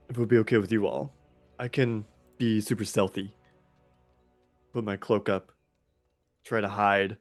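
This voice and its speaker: Low-ish male voice with a sick, sniffly sound, casual player talk, faint music behind. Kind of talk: tabletop game play.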